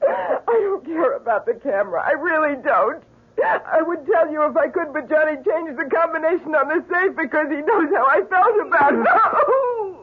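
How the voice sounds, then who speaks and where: A woman's voice: sits high, sing-song, moderate pace. An actress playing a terrified young woman captive, crying and pleading in an old-time radio drama. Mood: terrified, sobbing. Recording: muffled vintage radio broadcast, faint noise.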